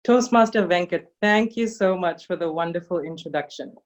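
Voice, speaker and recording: A woman's voice: lowish voice, moderate pace, a little hiss or hum. Woman master of ceremonies on dull call audio. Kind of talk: formal welcome to an online audience. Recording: dull, band-limited video call audio.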